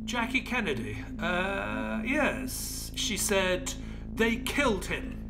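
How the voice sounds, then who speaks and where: A man's voice: sits high, sing-song, takes it slowly, gravelly, over music, full character voice, low-quality recording. Male actor as loyal detective's companion. Inquisitive, doubting, earnest, very varied intonation, audio drama with underscore. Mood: attentive.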